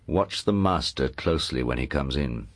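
A deep, imperious lord. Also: male voice, sing-song, moderate pace. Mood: low, threatening. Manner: curt, imperious, clipped commands. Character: commanding aristocratic uncle, curt and coolly domineering.

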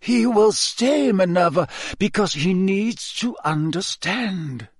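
A man voicing a powerful old wizard headmaster in an audiobook, grave, measured and curtly authoritative. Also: sits high, sing-song, dry recording, studio quality, pitched above the performer's own voice, heavily acted. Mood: stern.

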